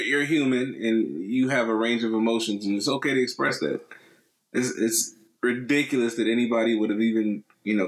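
A man co-hosting a casual conversation, speaking at an ordinary pitch. He is relaxed and easygoing, and drops into casual slang. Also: low-quality recording. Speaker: podcast co-host.

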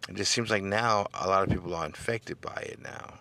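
A middle-aged man with a smooth voice hosting a political podcast, talking straight to his listeners and building into an emphatic, preacher-like cadence. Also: low-pitched, fairly quick, bright, forceful tone. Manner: addresses listeners directly, seeks call-and-response. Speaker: podcast host. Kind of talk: casual political podcast monologue.

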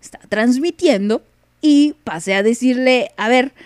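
A woman's voice: a little high, a little slow, a little mellow, dry recording, studio quality. A young woman with a Mexican accent hosting a casual live radio podcast, playful and teasing, laughing often. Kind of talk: casual chat on a live stream.

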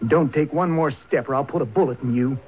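A man's voice: a little fast, breathy edge, lightly acted. An actor playing an agitated, hot-headed young gunman in a radio drama, nervous and stammering as he threatens. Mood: menacing. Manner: stammering, high-strung. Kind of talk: old-time radio drama acting. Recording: tinny, hissy old radio broadcast.

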